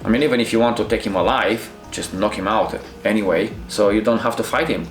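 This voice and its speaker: A middle-aged man from Romania with a Romanian accent, a Krav Maga instructor reacting to fight scenes in halting, restarting English. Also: fairly low pitch, reverberant, soft background music. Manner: halting non-native phrasing with many restarts.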